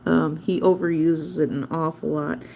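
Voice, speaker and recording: A woman's voice: sits low, level tone, normal speed, vocal fry, a little mellow, slight background noise. A woman hosting a livestream, chatting casually and rambling off topic, often spelling words out letter by letter. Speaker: livestream host teaching drawing.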